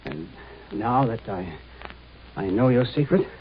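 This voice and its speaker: Flustered apologetic professor, 50s radio sci-fi, keeps stammering, tinny and a bit hissy. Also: male voice, lowish voice, lively intonation. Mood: hesitant, resigned. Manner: halting, stammering. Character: shaken, humbled professor, hesitant and apologetic. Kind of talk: 1950s radio drama acting. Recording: tinny, faintly noisy 1950s radio recording.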